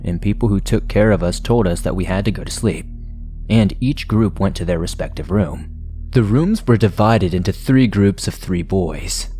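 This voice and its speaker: Guy reading horror stories, lowish voice, soft music in the back. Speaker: horror story narrator. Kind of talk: scripted narration of submitted stories.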